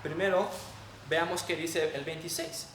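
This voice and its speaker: A man's voice: on the high side, fairly even intonation, moderate pace, fairly bright tone. A man with a Mexican accent preaching a sermon in an emphatic, didactic way. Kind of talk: sermon with Bible reading and prayer. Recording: echoey room, distant mic, faint noise.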